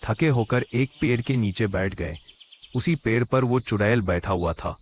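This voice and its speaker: A man playing a grave holy man recounting a cartoon tale in a measured, explanatory way. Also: on the low side, slight background noise, natural voice. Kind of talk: Hindi cartoon story narration.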